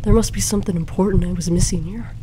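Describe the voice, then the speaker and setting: A woman reads dramatic first-person fiction with a soft-edged, mellow tone. Her pitch stays fairly flat while she phrases each line deliberately for suspense. A faint noise sits behind the voice. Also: low-quality recording. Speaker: fan fiction narrator.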